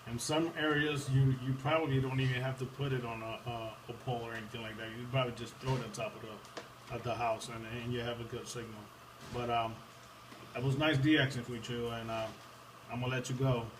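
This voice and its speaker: A man's voice: medium pitch, fairly even intonation, a little fast. Man from Puerto Rico, distant and noisy. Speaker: amateur radio operator. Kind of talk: casual ham radio conversation. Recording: distant, noisy room capture.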